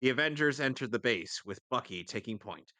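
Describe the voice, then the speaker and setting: Male co-reader, somewhat low and crisp-edged bright voice, very dry sound, casual narration. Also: animated, fairly quick, no echo. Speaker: livestream co-reader. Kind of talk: casual narrated read-aloud with asides.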